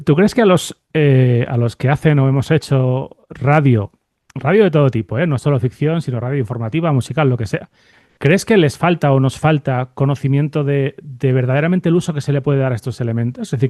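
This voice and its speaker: Male podcast host, Spain Spanish accent. Quick, relaxed, conversational, musing aloud; close dry sound. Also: medium pitch, no echo. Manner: thinks aloud, seeks agreement with tag questions. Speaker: podcast host interviewing a guest. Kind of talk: casual, reflective interview conversation.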